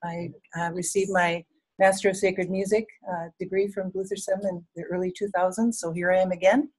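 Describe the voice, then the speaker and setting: A woman's voice: fairly low pitch, fairly even intonation, brisk, a little mellow, breathy edge, dry recording, low-quality recording. A middle-aged woman, a deacon back in seminary, introducing herself matter-of-factly in a classroom. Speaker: deacon and student.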